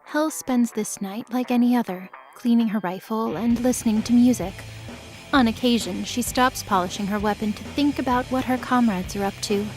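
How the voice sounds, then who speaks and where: A woman's voice: a little high, moderate pace, faint music behind, rough recording. A woman reading scripted, dramatic third-person narration for a mythology audio drama.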